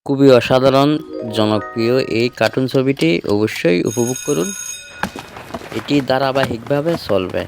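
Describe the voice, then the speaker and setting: A man presenting a channel in a drawn-out live promotional announcement style. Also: medium pitch, creaky, music in the background.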